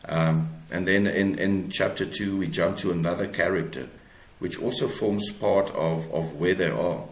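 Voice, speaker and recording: Deep-voiced South African man, roomy sound. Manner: halting, searching for words. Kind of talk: conversational interview, explaining own book.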